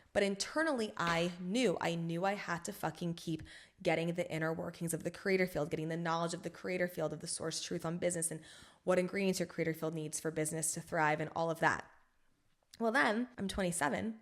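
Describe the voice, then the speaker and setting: A young adult woman hosting her own podcast, talking in a frank, rambling way full of swearing and filler words, with emphasis that swings between loud and soft. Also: mid-range voice. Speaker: podcast host. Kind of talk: casual solo podcast monologue.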